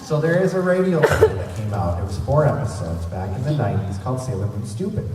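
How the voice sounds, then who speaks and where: A man's voice: medium pitch, big pitch swings, a little fast. Male panel host, rambling fan enthusiasm, caught at a distance in a noisy, very reverberant hall. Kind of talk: informal fan convention presentation. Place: large convention centre room.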